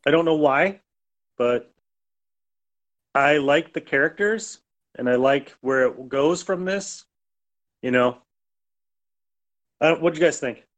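A man's voice: a little high, slow pace, pauses often, highs cut off. A man chatting casually as a podcast host. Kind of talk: casual chat.

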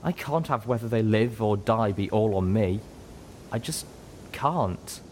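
A man voicing a young female unicorn who pleads and shouts on the edge of tears, in an audiobook. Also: lively intonation, measured pace, slight background noise. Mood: pleading. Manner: shrill, pleading, close to tears.

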